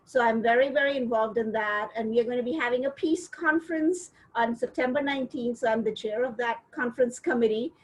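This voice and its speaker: A middle-aged woman from India, a professor, talking on a video call about her community work in a measured, steady way. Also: on the high side, poor audio. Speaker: interview guest, professor. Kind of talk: casual video-call interview.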